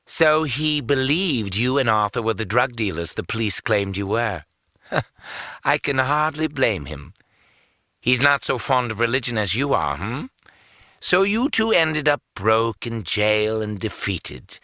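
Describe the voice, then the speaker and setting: Cynical gloating villain, audio drama, mocking, widely swooping pitch, close dry sound. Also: male voice, big pitch swings, unhurried, sharp tone, no room sound. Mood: mocking contempt. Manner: mocking, theatrical, condescending. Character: gloating, sneering, cynical male villain with a gun.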